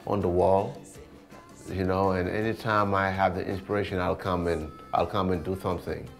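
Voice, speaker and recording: A man's voice: sits low, steady tone, moderate pace, creaky, soft background music. A man with a Senegalese accent talking informally in an interview as an artist, often repeating words and phrases. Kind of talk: casual interview talk.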